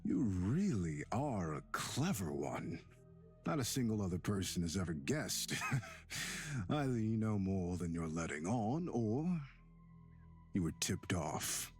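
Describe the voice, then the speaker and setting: A man voicing a bitter, mocking centuries-old demon in a young man's body, with theatrical drawn-out delivery, for a visual novel game. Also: low-pitched, sing-song, a little mellow, full character voice. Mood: mocking, menacing. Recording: music behind the voice.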